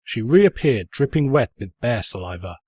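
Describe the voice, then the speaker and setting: A man's voice: medium pitch, very animated, moderate pace, darkish tone, breathy edge, dry recording. A man playing a sarcastic, pompous narrator who mockingly tells a comic fan fiction story. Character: snide, mocking male storybook narrator who insults the heroine.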